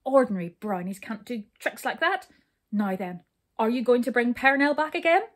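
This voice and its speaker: A woman's voice: on the high side, expressive, moderate pace. A woman voicing an imperious, hot-tempered king in a children's story, stern and blustering. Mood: angry, commanding.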